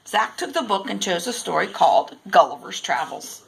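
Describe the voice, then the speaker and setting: A woman reading a children's storybook aloud in expressive, deliberate narration. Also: medium pitch, creaky, a little hiss or hum, poor audio. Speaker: storybook reader.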